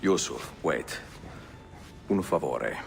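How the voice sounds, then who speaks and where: An actor playing an older Italian assassin in a video game, gruff and courteous, with an Italian accent. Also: male voice, expressive, slow pace, slight background noise, heavily acted. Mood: grave, resolute. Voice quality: deep, gravelly. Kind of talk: dramatic video game acting.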